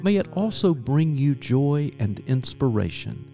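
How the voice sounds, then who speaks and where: A man's voice: medium pitch, sing-song, a little slow, mellow. A man reading a scripted podcast introduction as its announcer. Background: guitar music.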